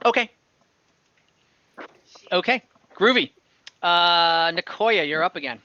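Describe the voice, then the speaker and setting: A man's voice: high voice, expressive, slow, bright, forceful tone, muffled recording. A man acting as game master for an online tabletop game, explaining rules with hesitant restarts and fillers. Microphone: home mic on an online call.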